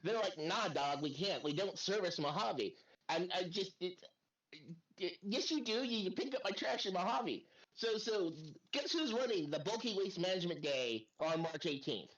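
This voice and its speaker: A man's voice: high voice, lively intonation, normal speed, fairly bright tone, distant mic. A man chatting casually as a player, telling an exasperated story with some swearing. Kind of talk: casual chat. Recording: roomy, dull lo-fi voice chat.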